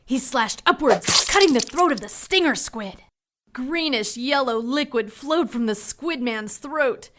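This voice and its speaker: A woman's voice: high voice, expressive, measured pace, noisy background. An actress playing a plucky, anxious 1940s young woman who narrates her own adventure in an audio drama, earnest and dramatic. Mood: tense narration.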